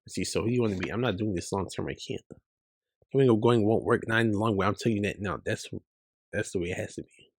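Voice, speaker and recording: A man's voice: on the low side, quick pace, no room sound, studio quality. A man performing dialogue from a dramatic story.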